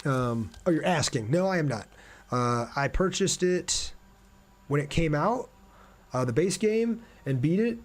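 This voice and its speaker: A man's voice: fairly high pitch, animated, a little slow. A man hosting a game livestream, talking casually and reading out viewer comments as he answers them. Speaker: game streamer, host. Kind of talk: casual livestream chat over gameplay.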